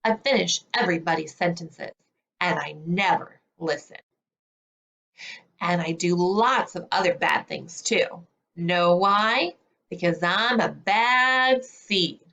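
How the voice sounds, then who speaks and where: A woman voicing a brazen, self-declared bad little seed in a storybook, with dramatic, confessional delivery and drawn-out words. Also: fairly low pitch, expressive, fairly bright tone, exaggerated voice.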